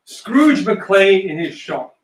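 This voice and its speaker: A roomy, slightly distant recording of a man with a fairly high voice. He is the host of a play reading, speaking casually and reading out scripted narration. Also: sing-song, takes it slowly, slight background noise. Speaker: host and stage-direction reader.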